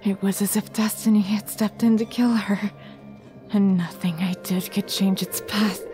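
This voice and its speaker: A woman's voice: mid-range voice, steady tone, normal speed, mellow, natural voice. A woman voicing an earnest, desperate young scientist in a dramatic anime parody. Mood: grieving. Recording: prominent music behind.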